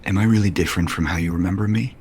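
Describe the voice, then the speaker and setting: Male actor as world-weary rich man, low-pitched, guarded, affectionate, hesitant, intimate soap opera drama. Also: very fast, darkish tone, slight background noise, close to an everyday voice. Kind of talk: audio soap opera acting.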